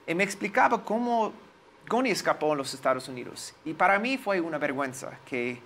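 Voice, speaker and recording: A man in his forties with an American accent, a native English speaker, recalling his experiences in an interview and pausing to search for words. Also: a little high, lively intonation, a bit bright, slight background noise. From United States. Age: 40s. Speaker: lawyer, interview guest. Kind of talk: interview, personal recollection.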